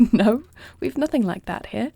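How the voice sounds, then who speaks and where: A woman playing a compassionate, self-doubting young dragon queen in a fantasy audio roleplay, speaking formally, earnestly and with soft intimacy. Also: on the high side, gravelly, breathy edge, no room sound, heavily acted.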